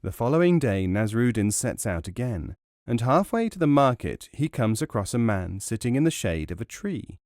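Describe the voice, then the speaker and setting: A man reading an essay as a scripted audiobook narration, in a measured, formal way. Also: mid-range voice, sing-song, a little mellow, dry recording, studio quality.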